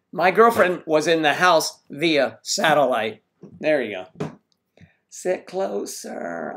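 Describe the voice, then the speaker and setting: A man's voice: fairly high pitch, vocal fry, slight background noise. A man hosting a casual livestream from home, rambling as he greets chat viewers and stumbles over their names.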